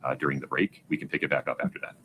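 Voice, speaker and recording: Deep deep voiced judge, talks fast and firm, courtroom. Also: male voice, bright, forceful tone, a little hiss or hum. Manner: firm, brisk questioning. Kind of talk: courtroom proceedings.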